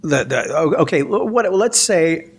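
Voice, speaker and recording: A man's voice: on the high side, lively intonation, measured pace, slightly rough. A man co-hosting a podcast in casual chat, stammering often and teasing his partner with dry questions. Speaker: podcast co-host.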